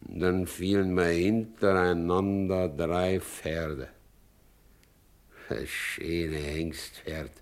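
An aging, good-natured carter in a stage drama, broken by guilt. The voice is low and very slow. The speech is halting, rustic and repetitive, with a heavy brooding temper. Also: male voice, steady tone, exaggerated voice. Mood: guilt-ridden, brooding.

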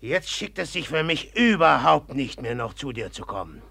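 A man's voice: mid-range voice, sing-song, moderate pace, creaky, bright, forceful tone, husky, dry recording, full character voice. A man acting a brooding, jealous rival in a German radio play, blunt, sardonic and needling with resentment. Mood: bitter, mocking.

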